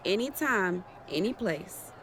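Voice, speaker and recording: A woman's voice: medium pitch, lively intonation, takes it slowly, fairly bright tone, a little hiss or hum. A woman playing a cocky but good-natured champion wrestler in conversational video game dialogue, with light ambience behind.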